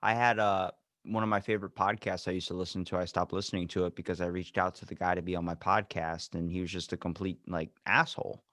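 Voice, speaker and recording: A man's voice: fast talker, few pauses, dry recording, studio quality. Blunt, profane young male podcast host. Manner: blunt, profane, swings from low mutter to excited. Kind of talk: casual interview chat.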